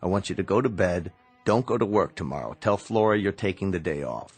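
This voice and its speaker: An actor playing a grave, protective American doctor in a radio drama, measured, somber and terse. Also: male voice, low-pitched, expressive, slightly rough, faint music behind. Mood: clinical, grave. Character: grave, protective doctor, reluctant brother of the patient.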